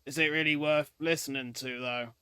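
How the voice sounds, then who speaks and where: Reaction host: casual, slangy, exclamatory, mid-pitched, recorded dry. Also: steady tone, moderate pace, pushed and bright, clear, resonant tone, dry recording.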